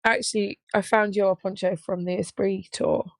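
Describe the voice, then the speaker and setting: A young woman with a slightly hoarse voice, co-hosting a casual live podcast, chatty and giggly. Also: mid-range voice, fairly even intonation, normal speed, dry recording. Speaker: podcast co-host, touring musician.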